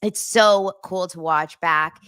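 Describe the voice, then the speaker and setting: A woman's voice: lowish voice, a bit bright, clear, resonant tone. Chatty middle-aged woman podcast host. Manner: chatty, quick, laughing asides. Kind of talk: casual pop culture chat.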